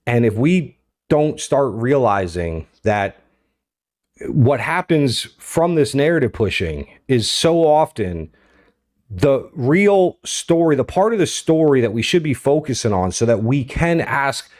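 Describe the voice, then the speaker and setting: A man's voice: mid-range voice, big pitch swings, measured pace, darkish tone. An American man hosting an unscripted political commentary, talking informally and in a rambling way, often checking in with his listeners. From United States. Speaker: host of a political commentary show. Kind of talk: unscripted opinion commentary to viewers.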